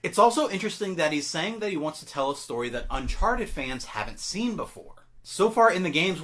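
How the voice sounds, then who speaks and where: Male online host, slightly high voice, very lively intonation, scripted geek-culture commentary delivered with enthusiasm. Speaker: YouTube host. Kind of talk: scripted video commentary on film and game news.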